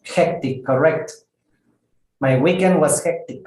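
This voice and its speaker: Male ESL teacher, slow, careful delivery, repeats key words; echoey room. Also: on the high side, a little mellow. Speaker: English teacher. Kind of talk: online English lesson.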